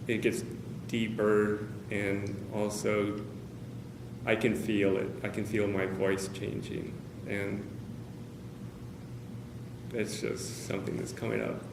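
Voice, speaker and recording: Low, gravelly-voiced man pausing often. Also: level tone. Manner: hesitant, many pauses and fillers, candid. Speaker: speaker at a caregivers' meeting. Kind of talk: personal talk to a small group. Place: meeting room with a group of about 45 people. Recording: roomy, noisy meeting-room recording.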